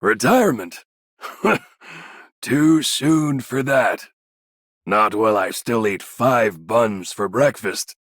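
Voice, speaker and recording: Husky, unhurried old man. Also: medium pitch, animated, a bit bright, no echo, clean studio sound, full character voice. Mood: amused, reassuring. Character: good-humored, unhurried old man refusing to retire. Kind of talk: scripted game character voice line.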